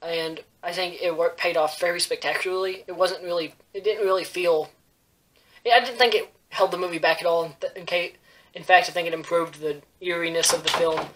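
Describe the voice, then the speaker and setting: Bright-voiced young man, rambling reviewer. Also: on the high side, fairly even intonation. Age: young adult. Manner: halting, rambling, self-deprecating. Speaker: host of a movie review show. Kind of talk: casual movie review to camera. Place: small bedroom, indoors.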